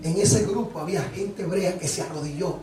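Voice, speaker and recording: A man preaching a lively sermon with a Dominican accent, animated and joking with the congregation. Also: sits high, brisk. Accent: Dominican Spanish. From Dominican Republic. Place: church hall. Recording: echoey hall from far off, congregation.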